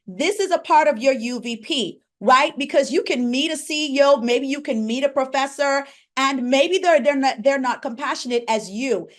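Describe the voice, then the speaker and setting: A woman's voice: high voice, a bit bright. A woman from the Bahamas, a pharmacist and coach, leading an interactive online workshop. She asks the audience questions and reads out names from the chat.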